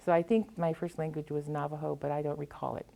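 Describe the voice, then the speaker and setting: American woman poet with a lowish voice. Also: level tone, fast, vocal fry, warm tone. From US Pacific Northwest. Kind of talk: anecdotal talk and poetry reading to an audience.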